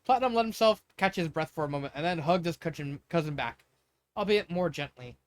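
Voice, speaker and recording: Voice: mid-range voice, animated, brisk. An amateur reader narrating fan fiction dramatically, often stumbling over words and correcting the phrasing along the way. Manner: stumbling, self-correcting delivery.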